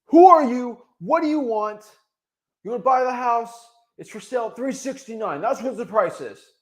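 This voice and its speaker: A man's voice: very high-pitched, animated, normal speed, pitched above the performer's own voice. A man putting on a barking, hostile homeowner. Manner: brusque, barking short questions. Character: gruff middle-aged male homeowner, hostile.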